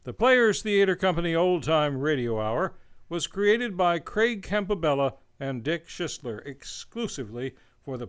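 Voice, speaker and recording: A man's voice: fairly high pitch, clear, resonant tone. A man announcing scripted radio show credits in a formal, polished delivery.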